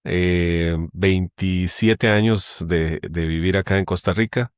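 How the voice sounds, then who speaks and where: A middle-aged man speaks in a fairly low voice with a dry, close sound. He talks in a measured way and pauses often. His tone is that of a guest in a conversational interview. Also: steady tone, clean tone, dry recording. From Guatemala. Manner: measured, with many hesitations. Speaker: guest, company general manager.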